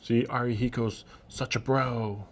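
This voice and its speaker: A man's voice: medium pitch, steady tone, unhurried. A man livestreaming a visual novel, reading the narration aloud and breaking off into casual, amused commentary.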